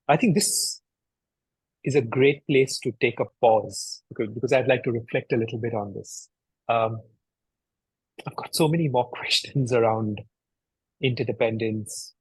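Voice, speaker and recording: A man's voice: mid-range voice, breathy edge, no room sound, poor audio. A man co-hosting a conversation, reflecting hesitantly and often repeating words as he thinks. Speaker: podcast co-host.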